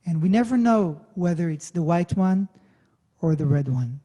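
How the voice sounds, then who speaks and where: A man with a soft-edged, mellow voice heard in long reverb. He introduces music casually, like a storyteller, pausing thoughtfully as he builds each halting phrase. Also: a little high, expressive. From Israel. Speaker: pianist introducing songs. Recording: long reverberant room sound.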